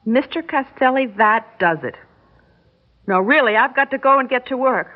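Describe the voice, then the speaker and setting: A woman's voice: high voice, animated, moderate pace. Flustered young woman, tinny radio recording. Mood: exasperated. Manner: hesitant, stammering, exasperated. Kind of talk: classic radio drama acting.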